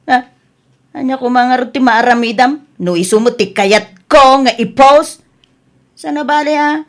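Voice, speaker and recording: A woman's voice: high voice, unhurried. A woman acting a brash, money-minded, stubborn vlogger in an Ilocano radio comedy drama, exclamatory and dismissive. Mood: smug, excited.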